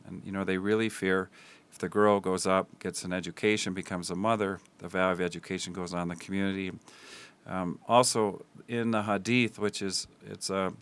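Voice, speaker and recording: Man, deep flat smooth voice, earnest guest with halting fillers. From Tanzania. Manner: earnest, many ums and uhs. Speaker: guest, school builder. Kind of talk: interview answers.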